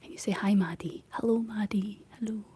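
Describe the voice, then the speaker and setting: A young Scottish woman speaking in a soft, breathy whisper, chatting casually and gently in an ASMR style. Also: medium pitch, fairly even intonation, a little fast. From Scotland. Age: young adult. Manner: gentle, rambling chat while painting. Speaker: ASMR streamer reading and answering live chat. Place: living room.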